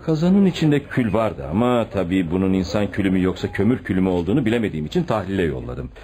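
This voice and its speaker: An actor playing an earnest, stubborn junior police detective in a radio play, deferential yet insistent. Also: male voice, low voice, sing-song, quick pace, dark tone, a little hiss or hum. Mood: earnest, convinced. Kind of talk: radio theatre acting.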